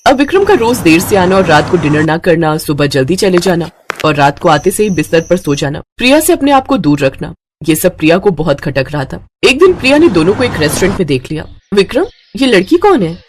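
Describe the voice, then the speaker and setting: A woman's voice: on the low side, sing-song, fairly quick, slight background noise. A woman reading scripted narration for a family moral story as the narrator.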